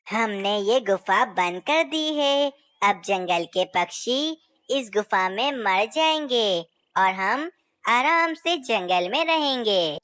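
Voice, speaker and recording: Played by a woman, this cartoon owl is a scheming male brother with a high, unhurried voice. It sounds smooth and clean, recorded close with no room sound. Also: expressive, fairly bright tone, no echo. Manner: sly, then apologetic. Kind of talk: Hindi cartoon voice acting.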